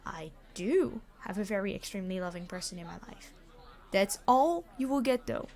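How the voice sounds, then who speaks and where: A woman's voice: mid-range voice, lively intonation, moderate pace, darkish tone, voice pushed down, natural voice. A woman playing a reluctantly decent supervillain in an audio roleplay, speaking in a dry, formal, bossy, matter-of-fact way. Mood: dry, reassuring. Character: famous immortal supervillain, exasperated, reluctantly decent. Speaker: robbery leader.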